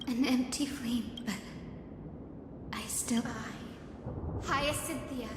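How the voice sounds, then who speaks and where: A woman voicing a gentle, devout young female character in a video game, earnest, tender and pleading in a measured, dramatic delivery. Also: a little high, steady tone, noisy background. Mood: sorrowful. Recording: echoey hall reverb with music.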